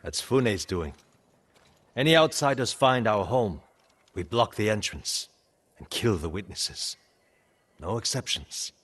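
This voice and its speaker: A man's voice: fairly low pitch, expressive, takes it slowly, long pauses, a bit bright, husky, full character voice. A man playing a wry, battle-hardened island raider in a video game, guarded, terse and plain-spoken with a sardonic edge. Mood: matter-of-fact. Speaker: video game character actor. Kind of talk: naturalistic game dialogue acting.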